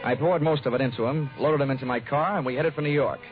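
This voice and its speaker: Man playing a hardboiled investigator, wisecracking tough guy, mid-pitched, 1940s radio drama, muffled, music behind. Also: fast, close to an everyday voice. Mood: wry narration. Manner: wry, wisecracking. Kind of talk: 1940s radio acting, first-person noir narration.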